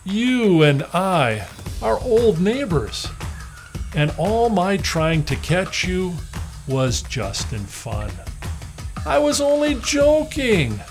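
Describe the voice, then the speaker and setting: A man's voice: sits high, very animated, takes it slowly, soft background music, voice pushed up, heavily acted. A man voicing a shaggy old male storybook lion, coaxing and pleading with false friendliness. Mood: wheedling, falsely friendly. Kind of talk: storybook character voice.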